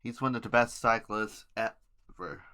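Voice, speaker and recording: A man hosting an amateur news podcast, reading headlines haltingly with informal asides and stumbles over words and names. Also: lowish voice, bright, forceful tone, clear, resonant tone.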